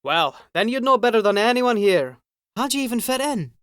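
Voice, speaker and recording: A man's voice: extremely high pitch, very animated, normal speed, a bit bright, no echo, clean studio sound, pitched above the performer's own voice, heavily acted. A man voicing a male prison guard in an audiobook, breezy and authoritative, with a jovial, needling manner.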